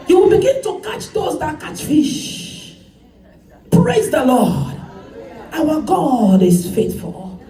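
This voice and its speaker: A woman pastor preaches with a high, widely swinging voice and a mellow tone. She speaks slowly, calling on the congregation to repeat after her and leaning on repetition. She is heard from across a reverberant church hall. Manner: preaching with call-and-response, emphatic repetition. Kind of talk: sermon. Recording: echoey church hall, voice from far off.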